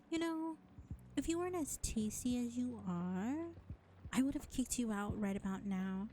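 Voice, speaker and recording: A woman's voice: on the high side, a little slow, pauses often, dark tone, a little hiss or hum, natural voice. A woman voicing an exasperated, sarcastic vampire in an audio roleplay, drawling with mockery and scorn. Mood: grudging, sly. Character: sarcastic, exasperated, foul-mouthed female vampire.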